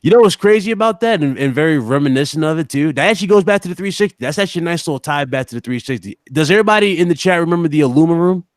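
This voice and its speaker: A man's voice: a little high, lively intonation, very fast, hardly any pauses, no room sound. Male gaming podcast host, close dry sound. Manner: informal, teasing, addresses co-hosts and chat. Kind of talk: casual live-stream chat and news commentary.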